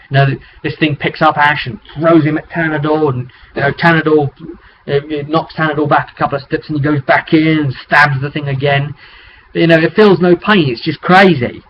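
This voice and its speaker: A man telling the story of a tabletop roleplay session as its game master, casual, colloquial and rambling, recorded on a webcam microphone. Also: fairly high pitch. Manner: rambling, colloquial, acting out sound effects. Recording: dull, noisy webcam microphone.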